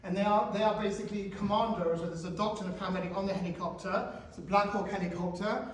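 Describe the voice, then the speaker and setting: A man in his forties giving a talk to teenagers in a school hall, animated and questioning the audience. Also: sits high, fast talker, a little hiss or hum. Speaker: guest speaker. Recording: echoey hall, speaker far from mic.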